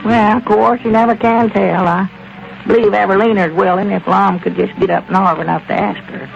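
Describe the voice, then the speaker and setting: An actor playing a country storekeeper with a folksy drawl in a 1930s radio comedy. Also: male voice, sits high, fairly even intonation, moderate pace, gravelly, faint music behind. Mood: easygoing. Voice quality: folksy rural drawl with plain country grammar. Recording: lo-fi old radio broadcast.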